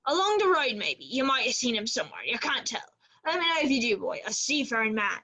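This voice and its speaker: A woman playing a gruff, suspicious old seaman captain in a radio play, with salty sailor talk and a bossy manner. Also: on the high side, big pitch swings, a little fast, sharp tone, full character voice. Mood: wary, insistent.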